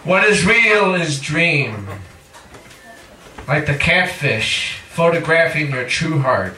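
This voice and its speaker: A man reading his own poetry aloud in a theatrical spoken-word style, with dramatic chanting, drawn-out phrases and cries. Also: fairly high pitch, lively intonation, bright, forceful tone. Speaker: poet reading own work. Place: indoor live reading at a cafe. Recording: distant mic in echoey room.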